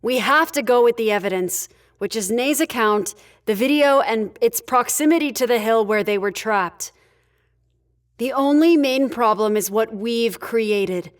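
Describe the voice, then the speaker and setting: Female starship leader played by an actress, fairly high voice, curt, firm authority, sci-fi audio drama. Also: a little slow, close to an everyday voice. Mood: frustrated. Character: no-nonsense female spaceship leader, firm and impatient.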